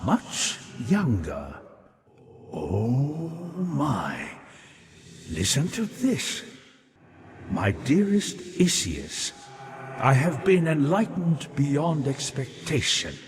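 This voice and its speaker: A man's voice: medium pitch, huge pitch swings, extremely slow, pauses often, exaggerated voice. An actor voicing a scholarly, ghostly male librarian in a fantasy game, speaking in an erudite, florid, musing manner with fascination and melancholy. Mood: intrigued, uneasy. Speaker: non-player character in a fantasy game. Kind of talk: theatrical game dialogue, reading and translating old texts. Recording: long hollow reverb, noticeable background hiss.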